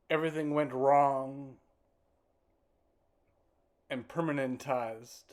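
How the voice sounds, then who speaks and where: A man performs a spoken-word poem at a slow pace. He breaks the lines into short, deliberate fragments, leaving halting gaps and repeating words. His pitch sits in the middle range for a man. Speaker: poet reciting own work. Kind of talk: dramatic spoken-word poetry recital.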